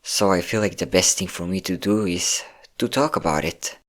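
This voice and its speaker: A man acting as a young man with a fairly low voice, depressed and close to tears. He speaks haltingly and puts himself down as he opens up to his best friend. It has the feel of an intimate audio roleplay drama. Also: close to an everyday voice. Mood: weary, grateful. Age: young adult. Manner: halting, self-deprecating, sighing. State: tearful.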